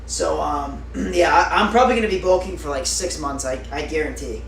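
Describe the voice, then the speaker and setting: A man's voice: on the high side, fairly quick, vocal fry, distant mic. A young man livestreaming, chatting casually with viewers in a rambling way full of fillers. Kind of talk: casual live-stream chat. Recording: roomy echo, off-mic, faint noise.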